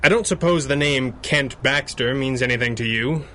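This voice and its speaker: A man's voice: medium pitch, moderate pace, pushed and bright, a little hiss or hum, natural voice. An actor playing a glib, easygoing masked man posing as a superhero in a 1930s-style radio adventure. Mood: glib, confident.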